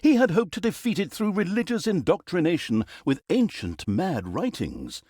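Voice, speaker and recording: An actor playing a wry, mischievous storyteller in a fantasy game, narrating in a theatrical, mock-dramatic, sardonic manner. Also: male voice, sits high, big pitch swings, normal speed, darkish tone, no echo, clean studio sound. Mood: mock-grand. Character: wry, mischievous male storyteller mocking an evil fantasy saga.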